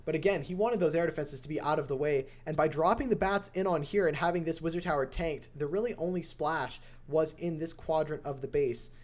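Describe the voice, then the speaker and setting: Excitable young male game caster. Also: on the high side, a little fast, few pauses. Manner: excitable play-by-play, rising to near shouts at close finishes. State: voice going hoarse. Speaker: esports caster and streamer. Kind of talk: solo game commentary on a live stream.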